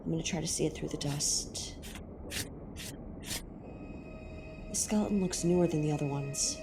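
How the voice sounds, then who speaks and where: A woman's voice: low-pitched, fast talker, creaky, music in the background. A woman playing a nervous, sometimes sarcastic young space explorer in an audio drama, hesitant and uneasy in her delivery.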